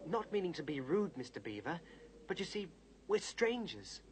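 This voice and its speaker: A man's voice: fairly high pitch, very animated, normal speed, background noise. A young actor playing a sensible, protective eldest brother in his teens in a children's fantasy audio drama, earnest, polite and decisive. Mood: polite, puzzled. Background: music.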